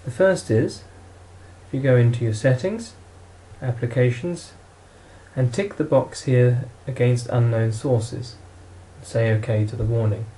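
A man's voice: mid-range voice, measured pace, dark tone, a little hiss or hum, low-quality recording. A man narrating an instructional product walkthrough in a plain, matter-of-fact way, as a demonstrator.

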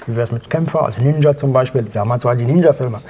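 A man in his fifties telling anecdotes as an interview guest, excitable and acting out dialogue in short bursts. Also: medium pitch, fast talker, slight background noise. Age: 50s. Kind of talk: animated anecdotal storytelling. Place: small indoor studio set at a table.

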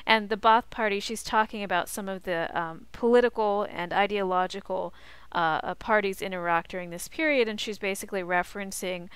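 A woman college instructor talking through slides in a measured, explanatory way and reading excerpts aloud. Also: mid-range voice, a bit bright, clear, resonant tone, dry recording.

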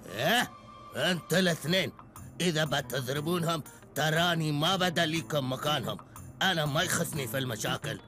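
A man's voice: fairly high pitch, brisk, over music, natural voice. A man voicing a smug middle-aged bus driver in a cartoon, lecturing in an Emirati Arabic accent. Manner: lecturing, self-important. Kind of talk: Gulf Arabic cartoon dub.

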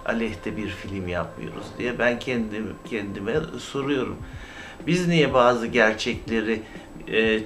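A man giving informal, opinionated YouTube commentary in a halting, indignant, emphatic manner with rhetorical questions. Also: medium pitch, a bit bright. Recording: clear background noise, amateur recording.

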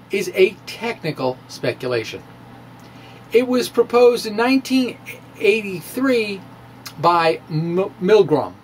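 A middle-aged man delivers a science script like a dramatic reading. He takes his time, leaning hard on words and swinging his pitch widely, with asides thrown in. Faint noise sits behind his voice. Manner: theatrical, emphatic, with drawn-out words and asides. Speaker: science video blogger. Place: small room with bookshelves, indoors. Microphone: microphone on a stand, close in front.

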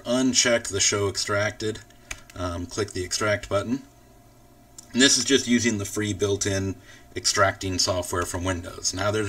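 A man with a fairly low voice talks through a software tutorial. He explains each step in an informal, casual way. He sounds set back from the microphone. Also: distant mic. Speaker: tutorial host. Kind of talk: casual screen-recorded software walkthrough.

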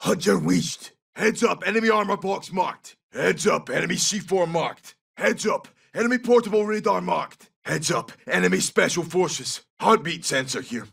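Scottish soldier for a shooter game, raspy and a bit high for a man, clipped urgent callouts. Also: expressive, moderate pace, hardly any pauses, fairly bright tone, recorded from a distance, exaggerated voice. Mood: brisk, alert. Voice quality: husky, gravelly. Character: tough, loyal Scottish special forces soldier. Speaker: video game character voice. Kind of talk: short military callouts.

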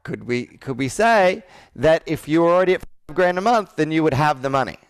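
Man in his thirties, smooth clear voice, sales coach, probing conversational questions, video call. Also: on the high side, lively intonation, a little fast. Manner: probing, checking back and repeating answers. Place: indoor room with whiteboard, on a video call.

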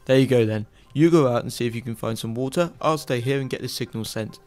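A practical, sarcastic father in a space audio drama, acted by a man. He has a mid-pitched, middle-aged voice and a pushy streak, with faint music behind him. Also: fast talker, a little mellow, lightly acted. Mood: reassuring.

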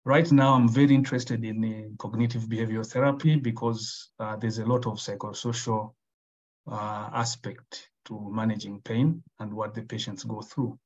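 A man's voice: lowish voice, steady tone, normal speed, darkish tone. A man in his forties from Uganda giving a webinar lecture as a guest specialist, hesitant with many fillers and restarts. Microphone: video call. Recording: dull lo-fi call audio.

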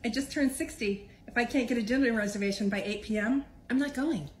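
A woman's voice: a little high, a little fast, a little hiss or hum. A woman of sixty giving a wry comic monologue built on a repeated refrain. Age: 60s. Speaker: website founder in a played clip.

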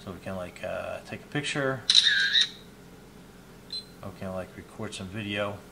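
A man in his fifties giving a casual, unhurried hands-on product demo, thinking aloud as he goes. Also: deep voice. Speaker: product reviewer and video host. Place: small indoor hobby room at a desk. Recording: clear background noise, room ambience.

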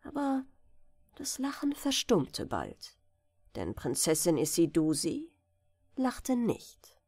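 A woman narrating a fairytale audiobook in an expressive bedtime-story style. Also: fairly low pitch, takes it slowly, slightly rough, no echo.

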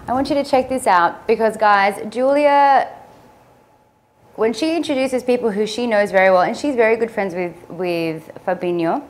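A young woman hosting a casual studio talk show, bubbly and quick to laugh. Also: fairly high pitch. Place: studio with couch and city backdrop.

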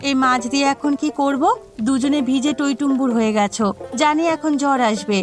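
A woman's voice: high-pitched, moderate pace, natural voice. A woman voicing a nagging, thrifty village housewife in a cartoon, with music behind her. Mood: scolding. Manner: scolding. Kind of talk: cartoon dialogue acting. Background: background music.

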